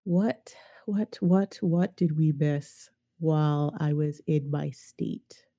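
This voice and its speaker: A woman's voice: deep voice, takes it slowly, dark tone. A woman hosting a podcast in casual chat, nasal and congested from a sinus infection, self-mocking and laughing often. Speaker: podcast host.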